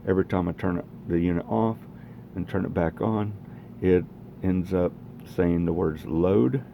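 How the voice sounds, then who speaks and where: A man's voice: low voice, moderate pace, creaky, lo-fi sound, a little hiss or hum. A man casually demonstrating radio equipment in a rambling, plainspoken way, recorded in a home garage. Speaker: radio hobbyist presenting own equipment.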